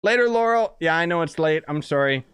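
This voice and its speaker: Excitable young man streaming games. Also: fairly high pitch, a little fast, smooth, no echo. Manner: excitable, slangy, playful. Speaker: game streamer. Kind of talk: casual live gameplay commentary. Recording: game music behind the voice. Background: game music.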